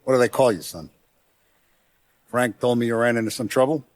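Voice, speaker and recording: An actor playing a tough, middle-aged Italian-American mafia boss in a video game, blunt and streetwise, with a commanding, vengeful manner. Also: male voice, medium pitch, a little fast, no room sound, natural voice. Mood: measured, commanding. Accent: American, Italian-American gangster. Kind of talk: video game voice acting, 1930s gangster talk.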